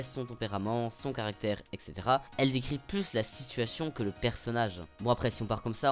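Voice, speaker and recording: A man with a voice of ordinary pitch talks through a stage musical in a scripted review. He is opinionated and sarcastic, and he breaks into heated outbursts now and then. Faint music plays underneath. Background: faint background music.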